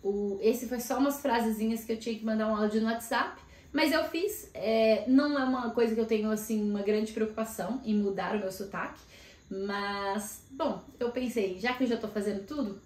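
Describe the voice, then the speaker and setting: A woman in casual chat, vlogging and telling about her week with lively asides. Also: on the high side, fairly quick. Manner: chatty, animated storytelling with frequent asides. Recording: echoey room.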